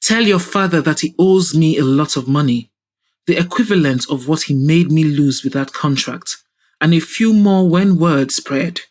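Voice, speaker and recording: A woman's voice: sits low, normal speed, a little mellow. A woman playing an arrogant middle-aged businessman in an audio drama, pompous, mocking and self-righteous. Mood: dismissive, smug. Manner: pompous, scornful.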